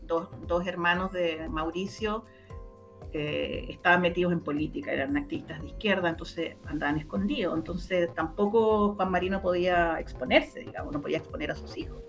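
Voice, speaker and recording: A woman's voice: lowish voice, normal speed, soft background music. A woman with a Chilean Spanish accent recounting her memories as an interview guest in casual talk, repeating words for emphasis. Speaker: interview guest, radio drama producer. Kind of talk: casual conversational recollection.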